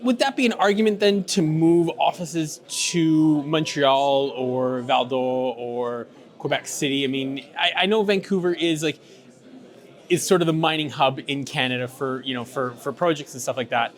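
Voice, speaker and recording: A man's voice: a little high, normal speed. A male news interviewer asking long, rambling questions full of fillers and restarts. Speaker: interviewer for a mining news channel.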